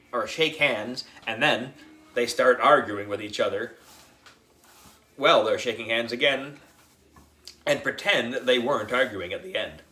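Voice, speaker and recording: A man reading a children's book as a young boy narrator, expressive, dramatic and playful. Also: mid-range voice, reverberant. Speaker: audiobook reader.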